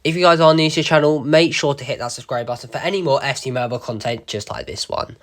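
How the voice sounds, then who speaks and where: A man with a slightly croaky voice, walking viewers through a game's new event in a casual, chatty voice-over with lots of ums. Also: medium pitch, quick pace, fairly bright tone. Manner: chatty, many ums, addresses viewers as guys. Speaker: gaming YouTuber. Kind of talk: casual game walkthrough narration.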